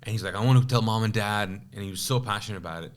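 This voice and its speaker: Guy raised in kuwait, urdu first language, low voice, rambly with lots of um and uh. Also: rapid-fire. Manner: rambling, many fillers and restarts. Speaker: pastor and podcast guest. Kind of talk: casual interview storytelling.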